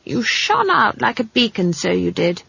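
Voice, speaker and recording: A woman's voice: mid-range voice, very animated, moderate pace, creaky, sharp tone, breathy edge, no room sound, highs cut off, voice pushed up, full character voice. A woman voicing a sour, brusque old country witch in a storybook, wry and knowing. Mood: matter-of-fact. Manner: sour, brusque, cryptic, scolding.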